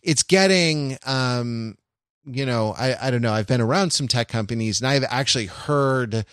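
A man's voice: mid-range voice, normal speed, fairly bright tone, smooth, no echo, clean studio sound. A man co-hosting a culture podcast, talking casually, rambling with many fillers, restarts and jokey asides. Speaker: podcast co-host. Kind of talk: casual conversational commentary.

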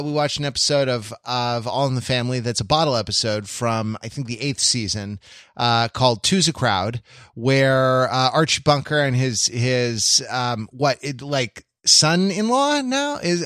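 A man co-hosting a podcast in casual discussion, speaking haltingly and wryly as he searches for words. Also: mid-range voice, expressive, a bit bright, no echo, studio quality. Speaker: podcast co-host. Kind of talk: casual pop-culture discussion.

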